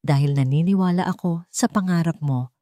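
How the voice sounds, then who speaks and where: Woman reading as a calm, gentle billionaire man. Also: lowish voice, moderate pace, dry recording, clean studio sound, close to an everyday voice. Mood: gentle, sincere. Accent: Filipino. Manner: gentle, restrained, simple delivery. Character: calm, gentle male billionaire in romance story.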